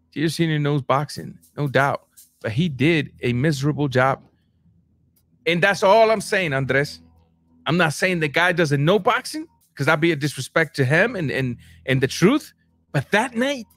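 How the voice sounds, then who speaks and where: A man chats through viewer comments on a livestream in a loose, animated way, often repeating himself as he restarts sentences. His voice sits slightly high. The sound is dry and close, with faint music playing underneath. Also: no echo. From Cuba. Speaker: boxing livestream host. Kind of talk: casual livestream chat reading comments.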